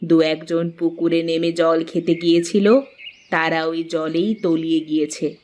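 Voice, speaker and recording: A woman acting a slow-spoken, grave village mother-in-law. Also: low voice, level tone, a little mellow. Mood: grave, cautioning. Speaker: narrator and voice actor. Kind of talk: scripted cartoon story narration.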